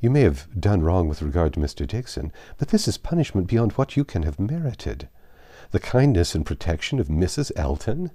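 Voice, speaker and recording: A man voicing a composed, wry young gentlewoman in a storybook reading, measured and dryly disapproving. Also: deep voice, sing-song, no room sound. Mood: indignant. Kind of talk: audiobook character reading.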